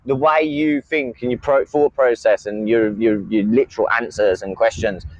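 A man's voice: mid-range voice, fairly even intonation, moderate pace, no room sound, highs cut off. A man, calling in to a talk show and rambling with many fillers and repeated words. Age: 30s. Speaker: caller. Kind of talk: casual call-in chat.

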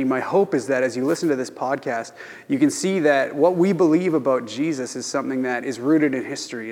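A man addressing podcast listeners earnestly, with some hesitant pauses and fillers. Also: mid-range voice. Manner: earnest, hesitant, warm invitation. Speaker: podcast host. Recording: long room reverb.

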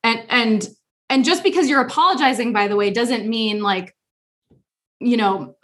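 Chatty higher-voiced young woman host. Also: moderate pace, a bit bright. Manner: chatty, frequent "like" fillers. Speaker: podcast host. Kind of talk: casual chat.

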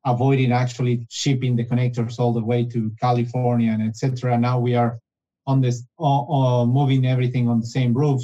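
Man with a mellow, halting voice. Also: medium pitch, muffled recording. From Argentina. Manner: halting, restarts phrases often. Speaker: guest, business development director. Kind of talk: casual interview conversation.

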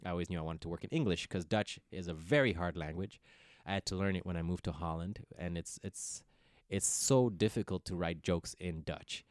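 A man in his thirties who grew up in Ethiopia, a comedian guest telling his story in an animated way with frequent laughter. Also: low-pitched, a little fast, hardly any pauses, no room sound, studio quality. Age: 30s. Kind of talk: casual interview chat.

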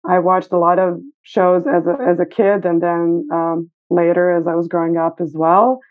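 A woman's voice: on the low side, little pitch movement, moderate pace. A middle-aged woman from Serbia with a Serbian accent, speaking conversationally as an interview guest. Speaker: podcast guest, costume designer and educator. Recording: narrow, phone-like internet call sound.

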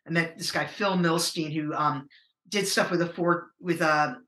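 A middle-aged American woman with a low voice, a musician talking casually in an interview, rambling and hesitant with many fillers. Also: moderate pace, fairly bright tone, roomy sound. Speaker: musician guest.

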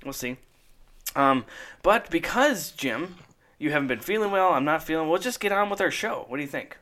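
A man's voice: on the high side, expressive, normal speed, pushed and bright. A man co-hosting a casual podcast, chatty and laughing often, repeating his words as he goes. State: feeling run down. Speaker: podcast co-host. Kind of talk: casual chat.

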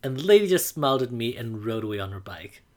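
A man, calmly telling a personal coming-out story to the camera, often pausing on "like" as he thinks. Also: mid-range voice. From Burma. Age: 20s. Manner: reflective, unhurried, frequent "like" fillers.

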